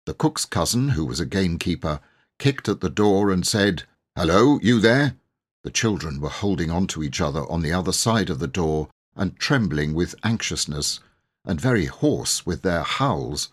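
A man reading a children's story aloud as an expressive, scripted narration. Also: deep voice, moderate pace, husky. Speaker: audiobook reader.

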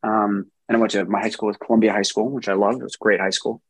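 Easygoing man, slightly husky voice. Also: lowish voice, fast, dry recording. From New Jersey, USA. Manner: relaxed, many ums and restarts. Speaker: software engineer guest. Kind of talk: interview answers.

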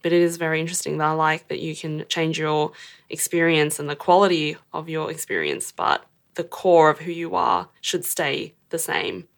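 A woman leads a casual interview with a playful, teasing edge. Her voice sits low and has a creaky fry, and her questions come across light and friendly. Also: moderate pace.

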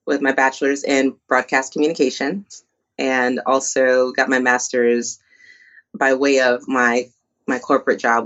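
An upbeat woman tells her story as an interview guest. She talks with quick asides, and her voice comes through slightly muffled. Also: very deep voice. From born in Colombia, raised in New Jersey. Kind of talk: casual interview conversation.

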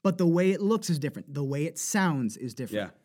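A man with a New Jersey accent, talking as an animated voice actor guest who laughs often and slips into accent demos. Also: fairly high pitch, fast. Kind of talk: casual interview chat.

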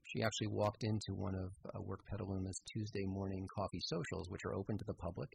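Male interview guest, low creaky voice, halting explanatory delivery, some background hiss. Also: fairly even intonation, darkish tone. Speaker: interview guest, radio theater organizer. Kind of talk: conversational radio interview.

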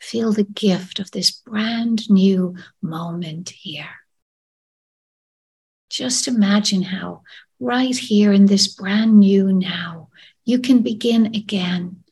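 A woman leading a gentle guided meditation in a soft, soothing, breathy voice that often trails into a whisper. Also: medium pitch. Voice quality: breathy, husky.

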